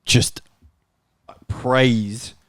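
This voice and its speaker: A man's voice: mid-range voice, steady tone, very slow, a bit bright, dry recording, studio quality. A man hosting a casual football panel and giving his own views in the debate.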